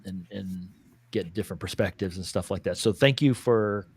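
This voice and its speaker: A laid-back man hosting a podcast, with a fairly low voice. The sound is dry and close. He calls his guest "dude" and "brother" and talks with plenty of fillers. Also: normal speed, darkish tone, slightly rough, dry recording. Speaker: podcast host. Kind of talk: casual conversation.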